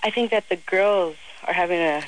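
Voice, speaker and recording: A man's voice: high voice, normal speed, fairly bright tone, a little hiss or hum, voice pushed down, poor audio. A young man voicing a young woman who calls a radio advice show about her cheating boyfriend, in a convincing, giggly female voice. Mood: worried. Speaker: prank caller.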